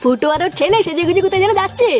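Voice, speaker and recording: A woman voicing cheeky village cartoon characters in a comic dialogue, with a high, squeaky voice. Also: animated, very fast, a little mellow, soft background music. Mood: cheeky chatter.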